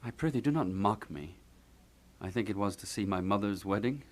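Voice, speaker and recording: A man's voice: fairly low pitch, moderate pace, close to an everyday voice. A man playing a grieving, bitter young prince in theatrical Shakespearean style, speaking in a mournful, declamatory way. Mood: sardonic, wistful. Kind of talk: theatrical Shakespearean stage acting for puppet show.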